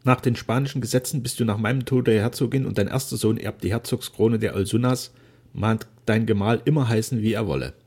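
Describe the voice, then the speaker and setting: A man reading aloud voices a dying old duke speaking solemnly and gravely to his daughter. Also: fairly low pitch, fairly quick, darkish tone. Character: dying proud old duke, remorseful father.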